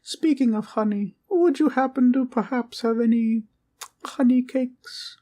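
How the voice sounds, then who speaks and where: A woman's voice: a little high, measured pace, dark tone, natural voice. A woman voicing a small, kindly teddy bear guardian in a comfort audio roleplay, sweet and polite with courtly, old-fashioned wording and a soothing bedtime manner. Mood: tender, earnest.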